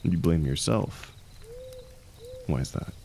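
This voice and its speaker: A man playing an aging, wounded warrior, the hero's loyal and gentle shield, speaking softly and haltingly in an intimate audio roleplay. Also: deep voice, lively intonation, gravelly, a little hiss or hum, full character voice. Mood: resigned, earnest. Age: older. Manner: soft, halting, confiding, reassuring.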